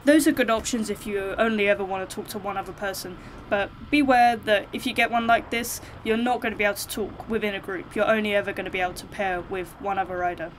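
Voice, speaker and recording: A British woman talks to viewers in an informal, frank way, like a vlogger explaining options. Her voice sits at a medium pitch. There is noticeable ambient noise, like a vehicle, behind her. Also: steady tone, quick pace, poor audio. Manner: informal, frank, hedged opinions. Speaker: motorcycle vlogger giving buying advice.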